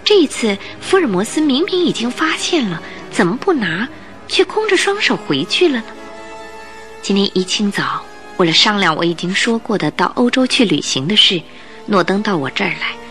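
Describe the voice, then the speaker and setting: A shrewd, resolute lady in a radio drama, polite yet guarded. Her voice is medium in pitch, with music playing behind it. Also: sing-song, moderate pace, a bit bright. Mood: wary, earnest. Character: clever adventurous woman, wary, determined, polite, newly married. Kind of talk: Mandarin radio drama acting.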